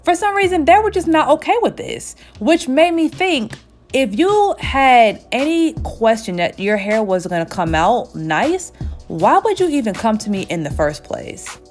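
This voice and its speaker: Chatty salon-owner woman, 30s, lively storyteller, faint music behind. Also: on the high side, unhurried. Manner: chatty, animated storytelling with frequent laughs. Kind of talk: casual storytime while getting a wig installed. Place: small indoor hair salon. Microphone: camera at chest height, seated facing it.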